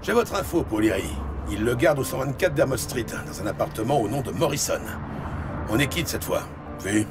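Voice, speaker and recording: A man's voice: medium pitch, expressive, moderate pace, close to an everyday voice. A man playing a corrupt, mocking police lieutenant in a French audio crime drama, menacing behind false friendliness. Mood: cold, mocking. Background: loud music.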